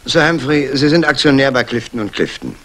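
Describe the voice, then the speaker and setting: An actor playing a polite, probing Scotland Yard inspector in a 1960s German crime radio play. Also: male voice, mid-range voice, animated, brisk, natural voice. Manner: polite, questioning.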